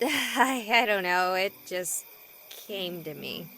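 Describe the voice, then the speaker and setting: A woman's voice: mid-range voice, lively intonation, slow pace, bright, forceful tone, a little hiss or hum, exaggerated voice, poor audio. A woman playing a modest, evasive young streamer in a visual novel, speaking in a casual, hesitant way.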